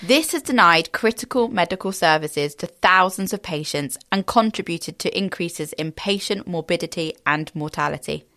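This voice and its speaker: A woman's voice: fairly low pitch, moderate pace, few pauses, bright, forceful tone. A woman hosting a podcast, introducing the episode and interviewing a guest. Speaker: podcast host.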